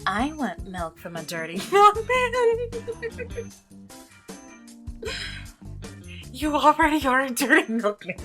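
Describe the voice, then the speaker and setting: Woman doing a pushy flirty game heroine, teasing n suggestive, slow pace, music behind. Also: sits high, wildly swooping pitch, fairly bright tone, heavily acted. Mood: teasing, insistent. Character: brazen, flirtatious, teasing female visual novel heroine.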